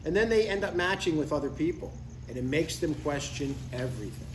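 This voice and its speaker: A man's voice: on the high side, animated, a little fast, lightly acted. An older man playing a blunt, devout father in a live comedy table read, matter-of-fact and mildly exasperated. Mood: casual, earnest. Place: live reading in a room. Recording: roomy, distant live recording with faint noise.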